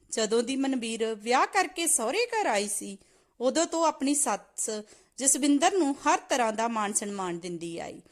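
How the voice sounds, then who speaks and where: Female storyteller, high voice with lively swings, reading scripted family tales. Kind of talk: scripted moral story narration.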